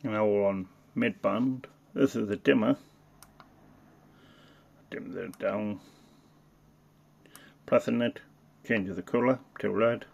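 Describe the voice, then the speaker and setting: A man casually demonstrating a piece of radio equipment, talking in a halting way with muttered asides. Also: fairly low pitch, fairly even intonation, creaky, a little mellow, dull audio. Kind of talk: casual hands-on product demonstration.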